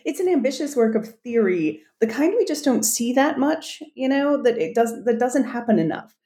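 A woman's voice: fairly high pitch, sing-song, moderate pace, warm tone. A woman hosting an interview podcast, effusive and enthusiastic as she praises her guest's book. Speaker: podcast host.

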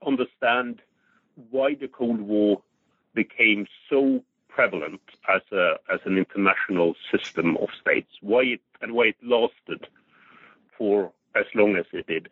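A man's voice: lowish voice, husky, no room sound. Man in his sixties, from Norway, historian guest, hesitant, tinny phone-line sound, dry. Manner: hesitant, repeats words while thinking. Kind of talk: thoughtful interview answers.

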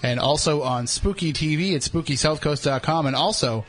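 A man's voice: on the high side, normal speed, a bit bright. Joking Boston radio host man. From New England. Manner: joking, self-deprecating. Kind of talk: casual radio banter.

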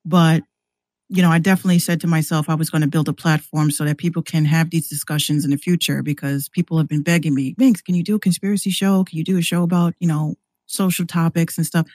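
An American woman chatting casually and candidly in a slangy, animated way, acting out exchanges as she tells stories. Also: deep voice, fast talker, no room sound. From New York City, US. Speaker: podcast guest, rapper and radio show host. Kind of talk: casual conversational storytelling.

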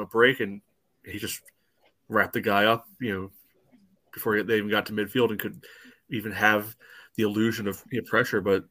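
A man's voice: fairly low pitch, pushed and bright. A man co-hosting a sports podcast, giving informal, opinionated match analysis. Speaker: podcast co-host.

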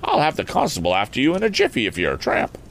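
An excitable rustic farmer of middle age or older, acted out by a man reading a storybook. He is suspicious and eager, with folksy exclamations. His pitch swoops dramatically, with some creak in the voice and faint noise behind. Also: fast talker, full character voice. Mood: suspicious, challenging. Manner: folksy country talk, exclamatory.

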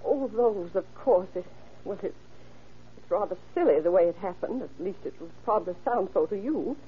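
A woman's voice: mid-range voice, animated, moderate pace, breathy edge. An actress playing an elderly, genteel mother who explains herself hesitantly and evasively in an old radio crime drama. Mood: flustered, explaining. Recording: dull vintage radio recording, faint noise.

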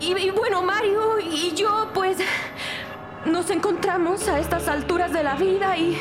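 An actress playing a nervous, evasive wife in a crime audio drama, speaking in halting, stammering phrases. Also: female voice, extremely high pitch, music in the background. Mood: anguished, hesitant. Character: nervous, evasive wife confessing an affair to detectives. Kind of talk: Spanish-language audio drama acting.

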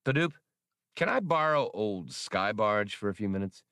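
A cocky, brash smuggler pilot, played by a man reading the book aloud. He speaks low and lively, his pitch moving freely as he haggles and boasts. The recording is close and dry. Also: normal speed, no room sound. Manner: brash, bargaining.

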